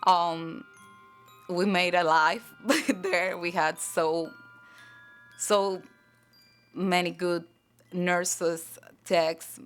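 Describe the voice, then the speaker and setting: A woman reflecting personally in a documentary interview, halting as she searches for words, with some creak in her voice. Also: lowish voice, fairly even intonation. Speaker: documentary interviewee, patient's partner. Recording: music behind the voice.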